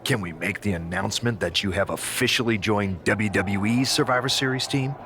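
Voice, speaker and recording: A man's voice: on the low side, a little fast, fairly bright tone. A man playing an earnest, emphatic wrestling official in a video game. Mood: formal, pressing.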